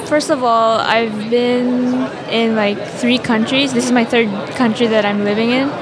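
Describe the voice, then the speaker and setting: A woman's voice: a little high, steady tone, unhurried. A young premed woman from the Philippines, chatting eagerly in an outdoor interview. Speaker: premed student. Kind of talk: vox pop interview. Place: outdoor event. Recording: outdoor event with crowd chatter. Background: crowd chatter.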